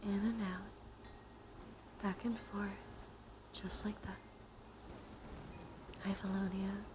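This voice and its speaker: A woman leading a guided ASMR relaxation, speaking softly and mostly in whispers, with a soothing, gentle manner. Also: medium pitch, little pitch movement, extremely slow. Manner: soft, mostly whispered delivery. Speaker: live streamer leading relaxation exercises. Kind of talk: ASMR guided breathing and body scan with chat asides. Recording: clear background noise.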